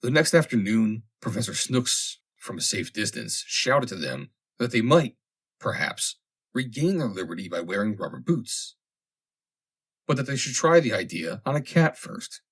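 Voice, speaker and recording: A man reads a comic vintage story aloud as scripted narration, in a measured, dramatic storytelling manner with deliberate pauses. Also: on the low side, very animated, a bit bright, slightly rough. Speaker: audiobook reader.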